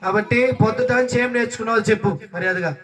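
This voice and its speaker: A woman's voice: fairly high pitch, racing. A woman presenting a children's puppet show with playful banter and teasing mock scolding. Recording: distant mic, noisy crowd of children. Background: crowd of children.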